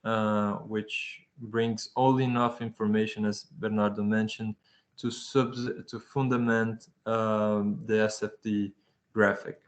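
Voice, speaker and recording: Male presenter, unhurried, monotone, lots of hesitations. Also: fairly low pitch. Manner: halting, many fillers and restarts. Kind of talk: webinar presentation.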